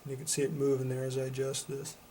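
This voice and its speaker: Even-toned man demonstrating a product. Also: medium pitch, fast, a little hiss or hum. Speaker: product reviewer for a CB radio shop.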